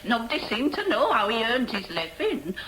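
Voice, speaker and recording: A woman's voice: on the high side, very animated, moderate pace, background noise. An actress playing a chatty, blunt older Yorkshire woman, a homely and emphatic pub regular in a radio drama. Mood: gossipy.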